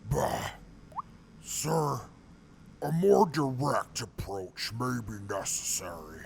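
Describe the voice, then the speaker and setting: A man playing a gruff, blunt defector soldier who talks in a slow, gravelly way. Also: medium pitch, sing-song, a little hiss or hum, exaggerated voice.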